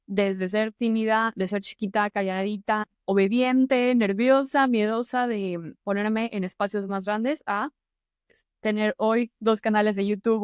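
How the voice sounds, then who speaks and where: A woman's voice: mid-range voice, clear, resonant tone, no room sound, clean studio sound. Earnest Mexican woman giving advice. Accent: Mexican Spanish. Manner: earnest, encouraging, conversational. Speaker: YouTube host and public-speaking mentor. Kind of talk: motivational advice to camera.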